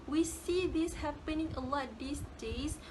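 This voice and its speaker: A woman's voice: high-pitched, unhurried, background noise. A young woman with a Malaysian English accent giving a casual class presentation, often repeating words as she searches for phrasing. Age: young adult. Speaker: student presenter.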